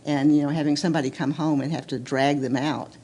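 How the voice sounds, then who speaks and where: A woman's voice: sits low, fairly even intonation, quick pace, mellow, slight background noise. American woman giving practical seminar advice. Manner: practical, with frequent ums and uhs. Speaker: panelist at a medical seminar. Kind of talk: seminar talk.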